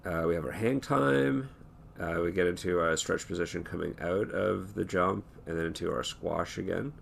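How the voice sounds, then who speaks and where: A hoarse man talking through an animation tutorial in a relaxed, thinking-aloud way, with many fillers and restarts. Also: low-pitched, moderate pace, slight background noise. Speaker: animation instructor. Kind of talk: screen-recorded tutorial talk.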